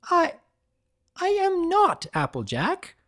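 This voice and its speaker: A narrator puts on a refined lady unicorn from a cartoon fan story. The voice is lifted high, proper and formal. This proud dressmaker is easily flustered and very ladylike. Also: huge pitch swings, takes it slowly, fairly bright tone, exaggerated voice. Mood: flustered, cross.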